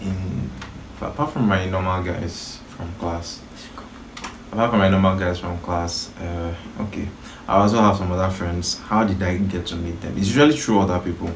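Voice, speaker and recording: A man's voice: sits low, steady tone, normal speed. A young man chatting casually with his sister, talking in a hesitant, thoughtful way and calling himself shy. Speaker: guest, the host's brother. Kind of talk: casual chat. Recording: roomy echo, faint background noise.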